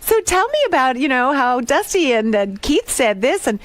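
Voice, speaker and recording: A woman's voice: sits high, sing-song, normal speed, no echo. A woman in her fifties, a guest actress in a radio interview, telling her story in an earnest, passionate way. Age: 50s. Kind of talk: studio interview.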